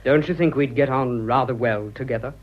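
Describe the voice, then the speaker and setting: An actor playing a brash, ardent young English lover in a radio drama, with a British accent and blunt upper-class slang. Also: male voice, mid-range voice, brisk, lightly acted. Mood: teasing. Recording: dull, muffled radio drama audio.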